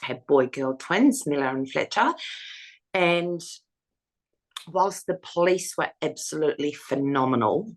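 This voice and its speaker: A woman with a husky voice, frankly recounting her own experience as an interview guest. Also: deep voice, expressive, measured pace. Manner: frank, matter-of-fact storytelling. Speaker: foundation founder, interviewee. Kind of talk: personal testimony in an interview.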